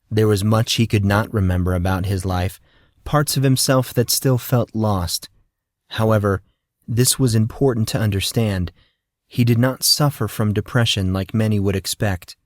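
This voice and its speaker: Male audiobook narrator, low voice, dry close recording, measured delivery. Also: a little mellow, dry recording. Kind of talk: scripted story narration.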